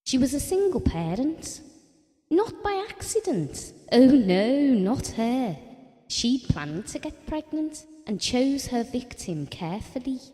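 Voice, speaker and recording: An adoring, wistful working-class wife in an audio drama. Her voice is mellow and fairly high, and she confides in plain colloquial speech. A long reverberant hall sound surrounds her. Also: big pitch swings, slow pace, exaggerated voice. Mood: wry.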